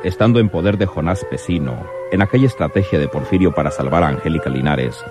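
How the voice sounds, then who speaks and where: An actor as the solemn narrator of a crime radionovela, delivering scripted dramatic storytelling. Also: male voice, extremely low pitch, expressive, fast talker, over music.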